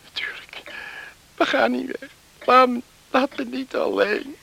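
A woman acting an anxious figure at a sickbed in a radio play, with a creaky voice. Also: sits high, measured pace, a bit bright, exaggerated voice, poor audio. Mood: pleading, tearful. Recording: faintly hissy radio play recording.

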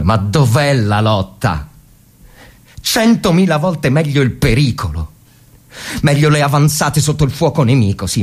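An actor playing a tormented, fervent Italian army officer in the trenches of the First World War, in a theatrical dramatic reading with halting repetitions. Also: male voice, medium pitch, slightly rough. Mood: bitter frustration. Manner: theatrical, halting, repeating phrases, swinging from hushed to frenzied. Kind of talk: dramatic literary reading as monologue.

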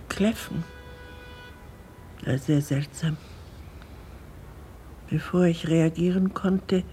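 A woman playing a courteous, habit-bound elderly retiree giving witness in a radio drama, hesitant and deliberate. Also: low voice, warm tone, a little hiss or hum. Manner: polite, hesitant, deliberate storytelling.

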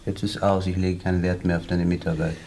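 An actor playing a stern, blunt young socialist leader who talks in commanding, oratorical phrases in a radio drama. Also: male voice, low-pitched, little pitch movement, a little fast, gravelly, warm tone. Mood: bitter, dismissive. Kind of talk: stage-like radio drama acting. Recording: roomy echo, faint background noise.

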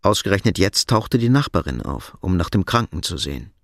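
A man narrating a crime radio drama in a measured, matter-of-fact scripted style. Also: low-pitched, very animated, gravelly, no room sound, clean studio sound, heavily acted.

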